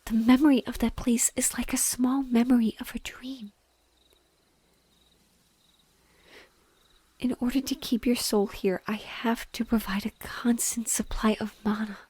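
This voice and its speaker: A woman voicing a mournful, gently loving banshee in a breathy whisper, slow and tender, as in an intimate audio roleplay. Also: on the high side, fairly even intonation. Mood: grave, wistful. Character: sorrowful female banshee, gentle and lovingly devoted.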